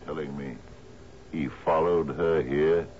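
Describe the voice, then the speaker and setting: A man's voice: rumbling low, steady tone, slow pace. An actor in a radio drama playing a grief-stricken, obsessed sea captain mourning his lost love. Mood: stunned, grave. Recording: muffled, noisy old radio broadcast.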